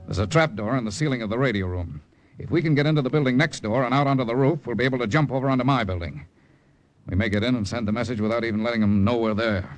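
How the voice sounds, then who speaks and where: An actor playing a brave American secret agent in old-time radio drama, terse and commanding. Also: male voice, medium pitch, quick pace, faint music behind, lightly acted. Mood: hushed planning. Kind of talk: 1940s-50s radio drama acting.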